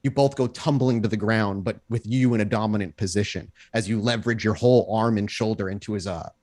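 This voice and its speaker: A man's voice: lowish voice, fairly even intonation, a little fast, a little mellow. A man running a tabletop role-playing game, improvising descriptive narration in a conversational way as storyteller. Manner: descriptive, conversational, checks in with players.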